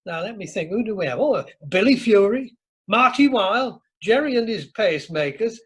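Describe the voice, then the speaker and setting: A man's voice: high-pitched, expressive, normal speed, breathy edge. A man playing a jovial, bluff older husband with a Northern English accent in an amateur radio comedy. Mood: blustering, nostalgic. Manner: chuckling, blustering, colloquial. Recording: online meeting recording.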